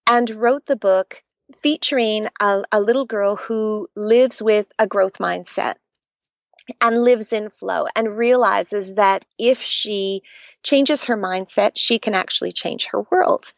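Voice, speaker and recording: A middle-aged Canadian woman talks about her work in an earnest, storytelling way. Her voice sits at a medium pitch, and the recording sounds dry and a little muffled. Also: a little slow, creaky, no room sound. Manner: warm storytelling, earnest and animated. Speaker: guest author. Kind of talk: conversational podcast interview.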